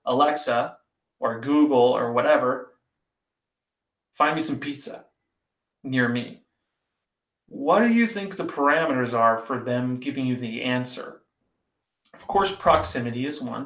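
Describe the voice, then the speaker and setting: A man hosting a podcast, talking casually and rambling with lots of fillers, his voice a little raspy. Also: medium pitch, a bit bright. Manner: rambling and conversational, many fillers. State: slightly raspy voice. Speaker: podcast host. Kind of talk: casual solo commentary. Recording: echoey room.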